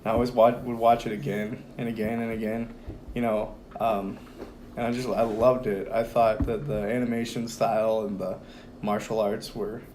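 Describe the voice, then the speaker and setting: Hoarse young guy, rambling guest on a casual chat show, some light hiss in the background. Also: lowish voice, moderate pace. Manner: relaxed and rambling, many fillers. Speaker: podcast guest. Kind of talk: casual conversation.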